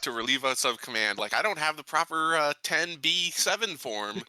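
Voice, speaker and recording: A man's voice: fairly high pitch, expressive, moderate pace, pushed and bright, smooth, no room sound, low-quality recording. A man playing a tabletop roleplaying game, improvising in character with hesitant starts and officious wording.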